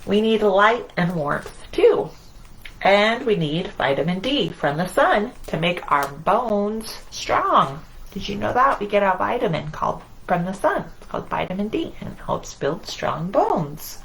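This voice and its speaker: A woman's voice: medium pitch, sing-song, measured pace, creaky, fairly bright tone, a little hiss or hum, rough recording. A woman hosting a children's storytime, reading aloud in simple, singsong phrases and putting questions to young listeners. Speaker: children's library storytime host.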